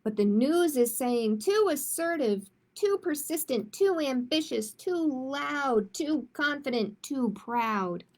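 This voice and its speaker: A woman hosting a children's storytime, reading a picture book aloud and chatting casually, with an expressive, emphatic delivery. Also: high voice, slow pace, hardly any pauses.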